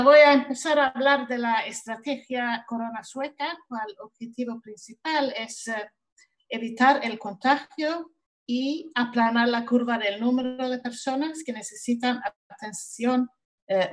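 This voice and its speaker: A woman with a Swedish accent speaks in her second language, reading deliberately from her notes with small pauses. Her voice sits a bit high. She speaks from home over a video call. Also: rough recording. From Sweden. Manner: careful, deliberate reading with hesitations. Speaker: social worker, panelist. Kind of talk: reading a prepared talk.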